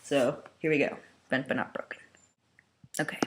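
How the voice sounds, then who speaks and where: A woman's voice: extremely low pitch, steady tone, normal speed, noisy background. A woman chatting casually as an amateur reader of fan fiction, self-conscious and given to wry asides. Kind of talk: casual chat and dramatic reading with asides.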